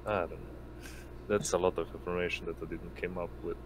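A man running an online tabletop game as game master, speaking haltingly and hesitantly as he improvises. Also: sits low, little pitch movement. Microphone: online voice call. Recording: noisy line with constant hum.

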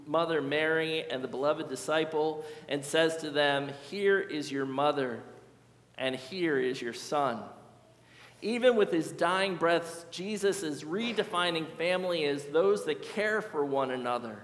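A middle-aged male pastor delivers a sermon in a measured, earnest voice that sits somewhat high for a man. He slows down to repeat key phrases for emphasis. His voice rings through a large sanctuary with a long, echoing reverb. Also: fairly bright tone. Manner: earnest, measured preaching with slow emphatic repetitions. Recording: echoey church hall.

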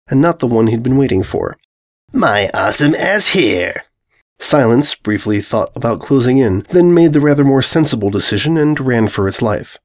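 A man's voice: medium pitch, a little mellow. A man reading scripted comic fan fiction as narrator, in a dry, wry manner. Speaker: story narrator.

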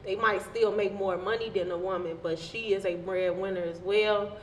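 A woman's voice: mid-range voice, fairly even intonation, normal speed. Emphatic woman in an echoey, noisy room. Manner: emphatic, argumentative. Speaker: podcast host. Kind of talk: casual opinionated group discussion. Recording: echoey room with background noise.

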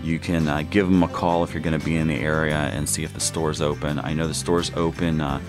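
A young man sitting at a table, casually reviewing outdoor gear with a relaxed, filler-heavy way of talking. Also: very deep voice, brisk. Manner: relaxed, many "uh" fillers, plain explanatory. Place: indoors at a table. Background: guitar music.

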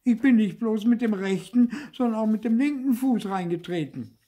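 A man's voice: high-pitched, lively intonation, takes it slowly, warm tone, husky, pitched above the performer's own voice, exaggerated voice. A man voicing a cheeky little puppet boy in a children's story, whining and protesting theatrically. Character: cheeky, whiny little male puppet boy, indignant wisecracker. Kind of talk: dramatised children's storybook reading.

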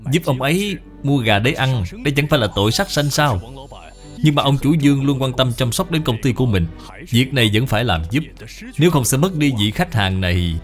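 A man acts out a devout, conscientious businessman for a moral cartoon. His voice is mid-pitched, earnest and courteous, with a pleading edge, over faint music. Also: lively intonation, brisk, lightly acted. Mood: troubled. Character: earnest, conscientious Buddhist businessman, adult son. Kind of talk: dubbed moral cartoon dialogue.

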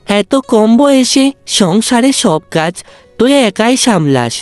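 Woman playing an animated, gentle, worried father. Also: mid-range voice, slow pace, dry recording. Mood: tender, sympathetic. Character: kind, worried, ageing father of two daughters. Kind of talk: Bengali cartoon story dubbing. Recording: dry, music bed under the voice.

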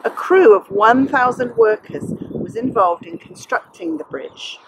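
A middle-aged woman with a slightly husky voice, presenting in a deliberate, explanatory way to children as a school librarian. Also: high voice, big pitch swings, background noise. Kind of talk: educational on-location narration for children.

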